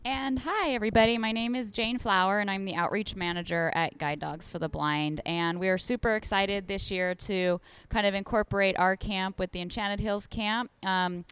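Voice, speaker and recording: A woman's voice: mid-range voice, a bit bright, clean tone. A woman working as an outreach manager, speaking in an enthusiastic, casual way with many fillers. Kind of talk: casual chat.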